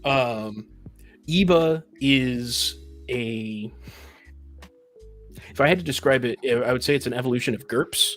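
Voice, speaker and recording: A man with a husky, slightly rough voice talks through a point in a casual discussion. He is analytical and precise, ready to argue. Faint music plays behind him. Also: medium pitch, fairly bright tone. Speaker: panelist in a tabletop gaming discussion.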